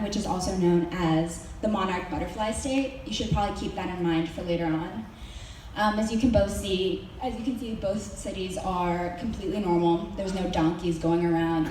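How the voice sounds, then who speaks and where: A woman's voice: on the low side, darkish tone, a little hiss or hum. A young woman from Mexico giving a senior presentation to her school, hesitant with many ums and dry joking asides. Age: young adult. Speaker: student presenter. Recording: very echoey hall recorded from far off.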